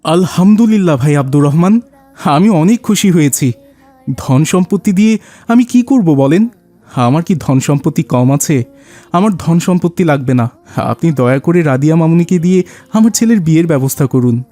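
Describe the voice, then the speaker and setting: A man's voice: a little high, lively intonation, moderate pace, dark tone, soft background music, close to an everyday voice. A man playing a devout, grateful older father in a cartoon, speaking in a heartfelt way. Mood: pleased, grateful. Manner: heartfelt, pious. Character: devout, grateful older father seeking his son's reform. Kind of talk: cartoon dialogue.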